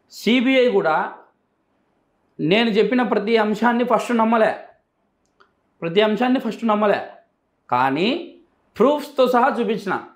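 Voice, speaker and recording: Guy with rayalaseema telugu accent, pretty high voice, emphatic interview answers, echoey room. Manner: emphatic, repeats phrases for emphasis. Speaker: interview guest. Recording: echoey, roomy sound.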